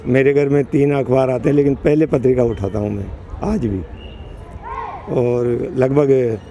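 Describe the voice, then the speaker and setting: A man's voice: mid-range voice, warm tone, slight background noise. A man in his sixties answering a street interview, talking earnestly and at length. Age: 60s. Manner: earnest, emphatic, rambling. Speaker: interviewee, longtime reader.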